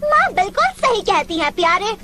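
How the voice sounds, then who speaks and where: A woman voicing a whiny, reproachful little girl creature in an animated film dub. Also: very high voice, normal speed, heavy background noise. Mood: scolding.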